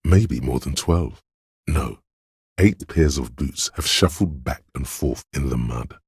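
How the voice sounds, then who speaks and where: An extremely deep bass plays the grave inner voice of a detective in a video game. He narrates in a measured, clinical way, observant and dryly judging. The sound is close and dry, with a slightly husky grain. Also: animated, a little mellow, no room sound, exaggerated voice. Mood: clinical, focused. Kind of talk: dramatic second-person game narration.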